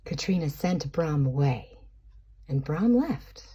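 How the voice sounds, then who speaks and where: Slow, swooping female storyteller. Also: deep voice, husky. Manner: theatrical, expressive, savoring words. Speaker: narrator. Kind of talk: dramatic storybook narration for a shadow puppet show.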